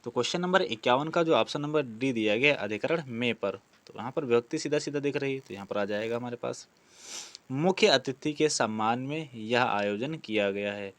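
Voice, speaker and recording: A man's voice: medium pitch, moderate pace, fairly bright tone. A man teaching a grammar lesson, explaining practice questions step by step, asking questions and answering them himself. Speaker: Hindi grammar teacher for exam preparation.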